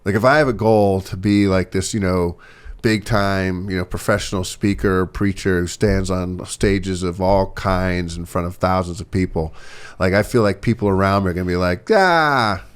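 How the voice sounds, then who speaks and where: Low-voiced man in his forties. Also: moderate pace, clear, resonant tone. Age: 40s. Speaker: podcast guest. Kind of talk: candid conversational interview. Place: podcast studio.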